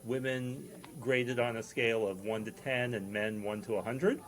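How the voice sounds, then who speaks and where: A man in casual comic banter as a panel contestant, speaking in a halting, hesitant way. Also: mid-range voice, steady tone, a bit bright, low-quality recording. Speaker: comedy panelist playing a student.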